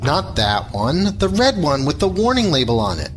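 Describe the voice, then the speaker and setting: An actor playing a sarcastic, self-important ship's computer technician, put-upon and dry, in an audio drama. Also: male voice, fairly high pitch, sing-song, moderate pace, background noise. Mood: dry, distracted. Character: grumbling, self-important male spaceship computer tech, dryly sarcastic. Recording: loud music bed under the voice.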